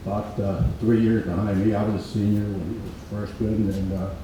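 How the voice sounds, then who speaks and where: Older man in his eighties, hoarse, low-pitched, halting delivery, heard from a distance in an echoey hall. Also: flat delivery, dark tone, a little hiss or hum. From Mississippi Delta, USA. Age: 80s. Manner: halting, many pauses and filler ums. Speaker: guest speaker. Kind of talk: informal speech at a reunion event.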